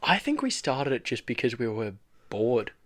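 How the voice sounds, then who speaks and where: A man's voice: mid-range voice, wildly swooping pitch, moderate pace, no room sound. Cheeky young Australian man, dry close sound. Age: young adult. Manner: cheeky, hyped, swearing. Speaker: podcast co-host. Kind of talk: casual chat.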